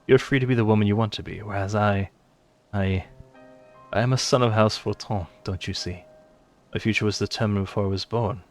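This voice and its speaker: A man's voice: fairly low pitch, steady tone, brisk, soft background music, lightly acted. A man playing a brooding, self-doubting young nobleman in a fantasy game, earnest and formal in delivery. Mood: anguished, resolute.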